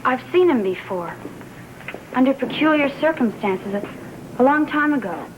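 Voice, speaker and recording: An actress playing an uneasy, suspicious young houseguest in a gothic soap opera, halting and earnest as she insists. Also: high voice, animated, noisy background. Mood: fearful. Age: young adult. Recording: dull vintage TV soundtrack, music behind.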